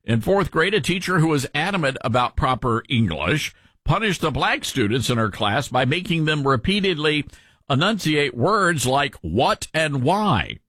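A radio host with a mild Tennessee accent, reading news aloud and adding wry asides in casual chat. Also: male voice, a little high, very animated, normal speed, pushed and bright, no echo. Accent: mild Southern US, Tennessee.

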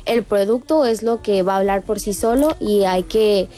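A woman's voice: medium pitch, normal speed, dark tone. A woman with a Mexican Spanish accent answering casually as an interview guest. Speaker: interview guest, restaurant founder.

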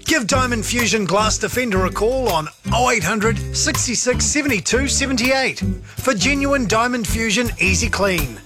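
High-voiced man pitching a radio ad. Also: expressive, normal speed, over music. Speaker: ad voice-over. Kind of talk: scripted commercial read.

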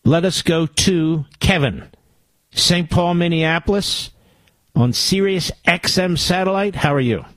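A man's voice: a little high, unhurried, fairly bright tone, no echo. A man with an American accent hosting a political call-in radio show, speaking in an emphatic, blunt manner. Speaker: radio talk show host.

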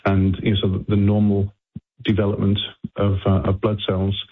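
An older man from England with a Liverpool accent, a scientist interviewed by phone, speaking haltingly and repeating words as he thinks. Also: lowish voice, monotone. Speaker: scientist guest. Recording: tinny phone line.

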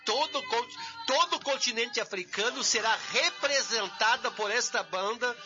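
A man's voice: extremely high pitch, normal speed, lo-fi sound, music in the background. A Brazilian man, a pastor, emphatically announcing a church event and repeating phrases to exhort listeners. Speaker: pastor and co-host.

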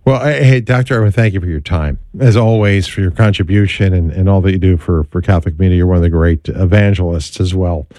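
Male radio host, conversational, low mellow voice, dry studio sound. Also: quick pace, hardly any pauses, no echo. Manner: affirming, conversational. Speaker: radio talk show host. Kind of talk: radio interview.

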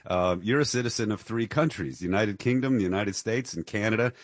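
A man's voice: fairly low pitch, brisk, no echo, studio quality. A male host in an interview conversation, interjecting in a chatty way and often saying "you know".

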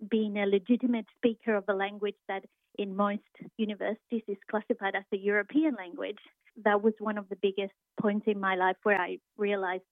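A woman comes through a tinny, phone-like line, dry with no room sound. She speaks as a university lecturer, thoughtful and unhurried, pausing often to search for the right words, at a middling pitch. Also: dry recording. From Argentina. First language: Spanish. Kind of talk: interview answers.

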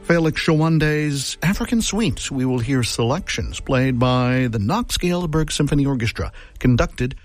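Lively-voiced male radio music host. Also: medium pitch, no room sound. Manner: measured, informative delivery. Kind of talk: scripted radio narration.

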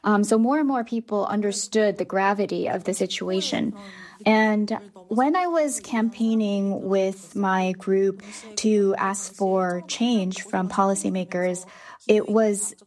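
A woman's voice: mid-range voice, slow, a little mellow. A woman interpreting a guest's interview answers, speaking in the first person on their behalf.

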